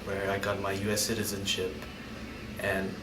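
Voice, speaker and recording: A man's voice: low-pitched, completely flat delivery, normal speed. A young man from Indonesia telling his own story in an interview, hesitant, often restarting and searching for words. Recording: roomy, distant mic, ambient noise.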